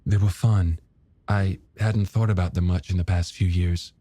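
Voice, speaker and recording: A man voicing a polite, warm and slightly shy married woman in an audiobook, gently conversational. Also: low-pitched, fairly even intonation, normal speed, dry recording. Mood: fond, amused. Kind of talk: audiobook character dialogue.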